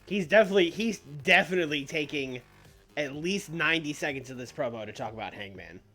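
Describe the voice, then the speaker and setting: A man's voice: a little high, lively intonation, fairly quick, bright, forceful tone. A man co-hosting a live stream in casual banter, with a wry, questioning way of talking. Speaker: streamer's co-host. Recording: background music under the voice. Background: chillhop video game music.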